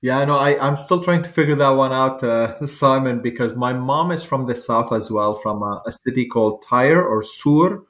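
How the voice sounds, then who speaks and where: A man's voice: medium pitch, normal speed, few pauses, fairly bright tone. A man, a physician guest speaking in a wry, conversational way. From Lebanon. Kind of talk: panel interview answer.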